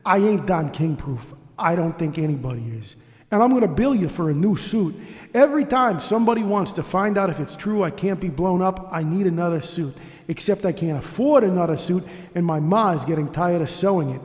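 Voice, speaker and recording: Actor as put-upon cartoon tough guy, high male voice, slangy, wry grumbling, hall reverb. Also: lively intonation, fairly quick, darkish tone. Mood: exasperated griping. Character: put-upon, wisecracking grown-up cartoon tough guy, blue-collar talker. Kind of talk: cartoon character acting.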